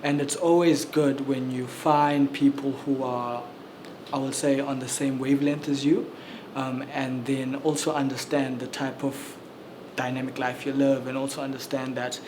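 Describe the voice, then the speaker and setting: A man's voice: medium pitch, little pitch movement, normal speed. A man, an activist talking earnestly and measuredly in a panel discussion, with frequent um fillers. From South Africa. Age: 20s. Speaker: activist and social entrepreneur. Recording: noisy ambient background.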